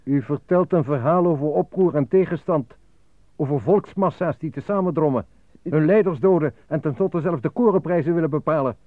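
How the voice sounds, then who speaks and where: A narrow, phone-like and very dry recording of a man acting a stern interrogator in a radio play. He is cold, suspicious and clipped, with a slightly high voice. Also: moderate pace, dry recording, natural voice. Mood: accusing, stern. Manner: clipped, insistent questioning. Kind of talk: Dutch radio drama acting.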